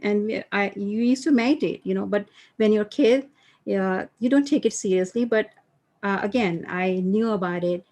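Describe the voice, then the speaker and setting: Woman from India, guest on an interview, middle pitch, loose and rambling delivery. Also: normal speed, a little mellow. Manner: rambling with many fillers and restarts. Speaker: guest author and mindfulness coach. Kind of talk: casual interview storytelling.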